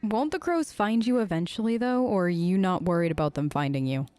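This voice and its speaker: A woman's voice: on the low side, lively intonation, brisk, mellow, no room sound, soft background music. A woman hosting a role-play let's play, improvising her character's side of the dialogue in a chatty, conversational way. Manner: chatty, conversational, reacts with questions.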